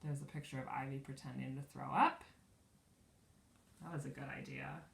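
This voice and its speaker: A woman teacher reading a children's storybook aloud expressively, pausing to explain the pictures. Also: a bass voice, fairly even intonation, vocal fry, fairly bright tone. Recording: echoey room, distant from mic.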